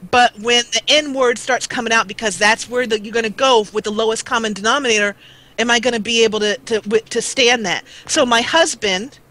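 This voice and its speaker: A middle-aged American woman talking earnestly on a live show, halting and repeating words as she goes. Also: a little high, sharp tone, rough recording. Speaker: guest, gaming video creator. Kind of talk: live talk show conversation.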